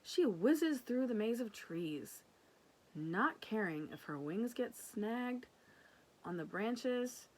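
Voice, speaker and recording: Slow, very animated woman reading aloud. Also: a little high, slight background noise. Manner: animated, unhurried narration. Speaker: storybook reader. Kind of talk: expressive children's read-aloud with casual asides.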